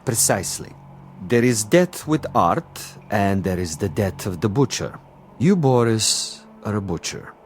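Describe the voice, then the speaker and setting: A man's voice: fairly low pitch, very animated, a little slow, a little hiss or hum. A man playing a cultured, menacing commissar and assassin in a drama, speaking with theatrical mock courtesy and relishing his words. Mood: coolly menacing. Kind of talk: dramatic TV-style dialogue acting.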